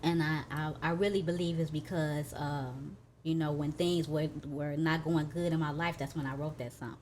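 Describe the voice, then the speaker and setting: Chatty woman, slightly low voice. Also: fairly even intonation, moderate pace, darkish tone, a little hiss or hum. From Chicago. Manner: chatty, with many fillers and "you know what I'm saying". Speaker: guest rapper and singer. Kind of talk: casual interview chat.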